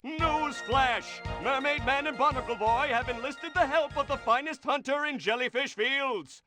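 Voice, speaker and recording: A man voicing an excitable cartoon newscaster in a video game, announcing melodramatically. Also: very high voice, moderate pace. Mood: urgent. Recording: music behind the voice.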